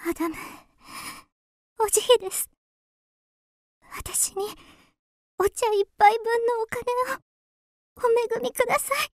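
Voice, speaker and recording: A woman's voice: very high-pitched, takes it slowly, long pauses, no room sound, studio quality, heavily acted. An actress playing a poor, timid young girl in a radio drama, pleading in a hesitant, meek, polite manner. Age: young adult.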